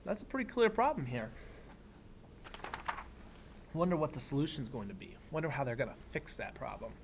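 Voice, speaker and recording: A man teaching young children, reading a picture book aloud expressively and pausing for simple explanatory asides. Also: mid-range voice, sing-song, creaky, background noise. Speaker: elementary school teacher.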